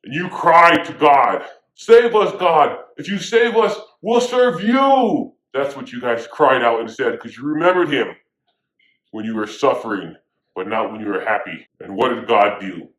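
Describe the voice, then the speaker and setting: A man's voice: mid-range voice, expressive, measured pace, fairly bright tone. A man playing a stern prophet who scolds his people, preaching in a casual, modern way full of slang. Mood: scolding. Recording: echoey room.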